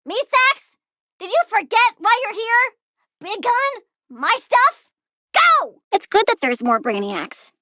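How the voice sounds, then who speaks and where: A woman's voice: very high voice, big pitch swings, slow, sharp tone, no echo, studio quality, full character voice. A woman voicing a childlike young plant-controlling villain girl in a video game, perky, precocious and sassy, eager and cheerfully menacing. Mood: bratty, menacing. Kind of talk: video game character voice lines.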